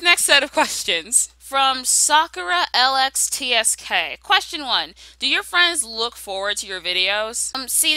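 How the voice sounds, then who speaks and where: A young woman with a very bright, forceful voice that sits fairly high and moves up and down in a lively way. She talks casually and playfully, reading out questions and answering with sassy humor, recorded close and dry. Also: smooth, no room sound, low-quality recording. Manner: playful, sassy, conversational storytelling. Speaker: YouTube animation creator answering viewer questions.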